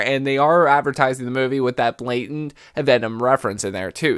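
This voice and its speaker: Man with a slightly high voice, dry sound. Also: a bit bright, dry recording. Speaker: YouTube host. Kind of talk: scripted movie news commentary.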